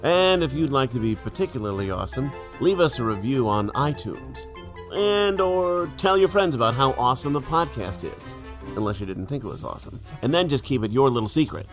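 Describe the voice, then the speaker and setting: A man's voice: mid-range voice, animated, brisk. A man reading a scripted podcast outro as an announcer, in a tongue-in-cheek comedic style. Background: faint music.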